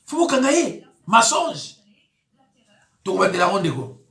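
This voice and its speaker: A man's voice: high-pitched, sing-song, measured pace, vocal fry, fairly bright tone, echoey room. Emphatic man preaching commentary. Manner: emphatic, indignant, with rising exclamations. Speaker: pastor. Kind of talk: commentary on news clips.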